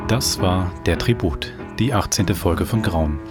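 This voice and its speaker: A man's voice: low-pitched, unhurried. A man presenting a podcast and reading a story aloud in a conversational way. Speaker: podcast host. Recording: music underneath.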